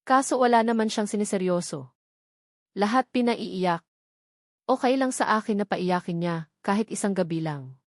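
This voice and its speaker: A woman's voice: mid-range voice, moderate pace, pauses often, no room sound, studio quality, natural voice. A woman voicing a giddy, lovestruck female nurse who gushes and gossips in a story. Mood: giddy, gossipy. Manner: gushing. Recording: music playing behind the voice.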